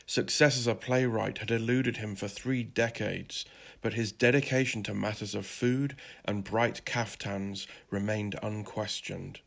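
A man's voice: lowish voice, fairly even intonation, measured pace, vocal fry, a bit bright. A man reading scripted literary fiction as a narrator.

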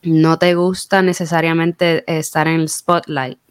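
A woman with a Puerto Rican accent speaks in a fairly low, smooth and resonant voice. She interviews her guest with chatty, probing questions. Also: level tone, measured pace. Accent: Puerto Rican Spanish. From Puerto Rico. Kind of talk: conversational interview.

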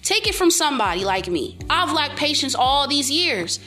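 Young woman, high-pitched, emphatic, repetitive, motivational vlog, soft music in background. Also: big pitch swings, moderate pace. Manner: emphatic, preachy, repeats herself, speaks up loudly. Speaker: vlogger giving life advice. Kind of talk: casual motivational monologue to camera.

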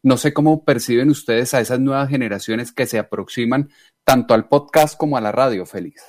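A man moderating a panel, measured, posing a question to a guest. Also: mid-range voice, a little mellow, no echo, studio quality.

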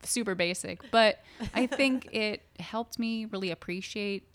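A woman's voice: mid-range voice, measured pace, very noisy. A young adult woman from the US East Coast, chatting casually as a guest on a podcast, laughing and restarting her sentences. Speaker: guest choreographer. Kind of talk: casual chat.

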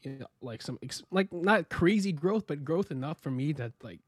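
A young American man telling his story as a podcast guest, relaxed and rambling with many fillers and restarts. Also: a little high, normal speed, no echo. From United States. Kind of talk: casual interview chat.

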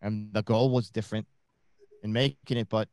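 A man's voice: lowish voice, fairly even intonation, moderate pace, darkish tone, dry recording. A man in a small home studio, a podcast guest in easygoing casual chat over a video call. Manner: easygoing, brief agreeing replies.

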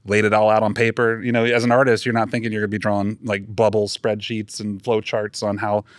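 Male guest, game artist, low voice, dry close sound, thinks aloud as he talks. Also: level tone, a little fast, no echo. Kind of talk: casual podcast chat.

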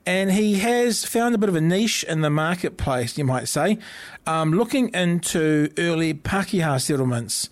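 A man with a New Zealand accent hosting an interview show in a chatty, rambling way, a little husky after an illness. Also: on the high side, animated, moderate pace. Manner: chatty, rambling, with many restarts. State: recovering from illness, slightly husky. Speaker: podcast host. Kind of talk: casual interview chat.

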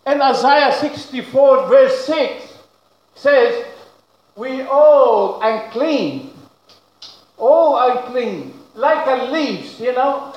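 A high-voiced male pastor preaches a loud, emphatic sermon in English that is not his first language, with broken grammar. He talks slowly and stretches key words for weight. His voice rings around a very echoey church. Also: lo-fi sound. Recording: very echoey room.

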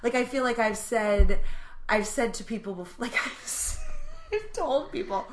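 A young adult woman co-hosting a podcast in casual chat, animated and self-described dramatic, with lots of fillers. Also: a little high, moderate pace, slight background noise. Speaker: podcast co-host.

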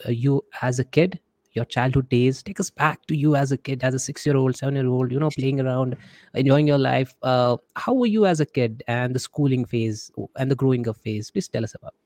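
A man's voice: mid-range voice, a little fast, mellow, dry recording. A man with an Indian accent hosting a casual interview, chatty, often repeating his words and acting out the messages he quotes. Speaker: podcast host.

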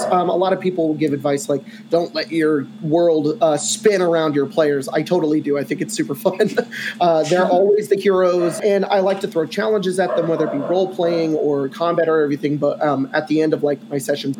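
A man's voice: on the high side, fairly even intonation, normal speed, background noise. Rambling Californian man on a panel. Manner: rambling, filler-heavy, uneven pace. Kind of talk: casual panel chat.

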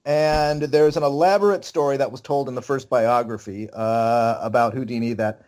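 A man's voice: medium pitch, steady tone, moderate pace, a little mellow. A man from California, a co-host, retelling a story casually with fillers like "you know".

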